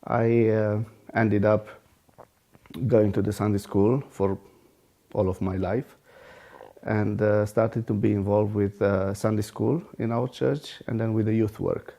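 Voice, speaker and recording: A man's voice: on the low side, flat delivery, measured pace, creaky, mellow. A man with a Romanian accent telling his testimony steadily. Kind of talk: personal testimony.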